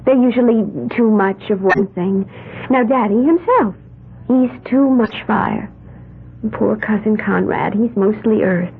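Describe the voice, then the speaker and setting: A woman's voice: medium pitch, unhurried, slightly rough, narrow, tinny audio. An actress playing a dreamy young woman with odd beliefs who babbles in a wide-eyed, sing-song way, in a 1970s radio drama. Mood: earnest, dreamy. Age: young adult. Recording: band-limited old radio broadcast.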